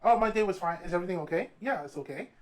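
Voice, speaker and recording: A man's voice: sits high, expressive, very fast. A man chatting casually on a podcast as a host, emphatic and slangy, often repeating himself for effect.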